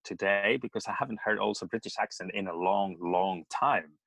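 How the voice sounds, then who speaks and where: A man's voice: fairly low pitch, fairly quick, gravelly, fairly bright tone, no echo. A man from Spain speaking English with a Spanish accent, chatting as a podcast guest. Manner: chatty with frequent laughter. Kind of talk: casual podcast conversation.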